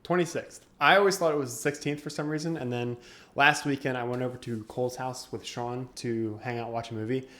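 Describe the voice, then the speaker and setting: A man's voice: mid-range voice, steady tone, fairly quick, a bit bright. A young man co-hosting a gaming podcast, laid-back and chatty, often laughing as he tells stories. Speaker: podcast co-host. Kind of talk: casual gaming podcast chat.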